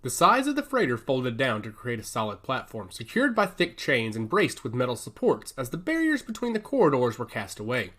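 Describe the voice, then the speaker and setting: A man reading a scripted dramatic story as narrator, in an expressive, descriptive way. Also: mid-range voice, a little fast, few pauses. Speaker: audio fiction narrator.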